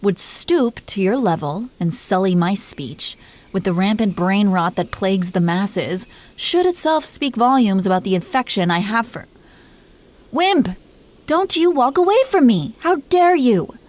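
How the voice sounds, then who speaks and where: A woman's voice: mid-range voice, animated, measured pace, a little mellow, lightly acted. Woman playing a haughty, teasing dragon queen wife. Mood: indignant. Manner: imperious, teasing, affectionately condescending. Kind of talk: scripted roleplay monologue spoken to the listener.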